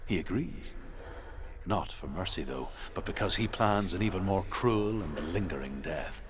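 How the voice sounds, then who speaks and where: An actor playing a grave storyteller of old legend in a video game, measured, dramatic and knowing. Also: male voice, low voice, expressive. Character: grave, relishing male storyteller of Norse legend. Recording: noisy ambience behind.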